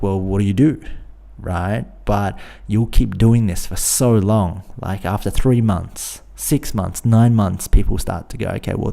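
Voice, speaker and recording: Australian male podcast host, low gravelly voice, mellow tone, earnest and repetitive coaching delivery. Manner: earnest, repeats words, restarts phrases. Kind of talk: casual solo podcast talk.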